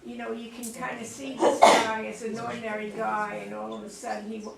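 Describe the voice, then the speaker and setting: Female audience member with vocal fry, thoughtful and halting, recorded at a distance in an echoey room. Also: on the high side, monotone. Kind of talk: informal discussion. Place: room at a live play reading. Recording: roomy distant mic, faint noise.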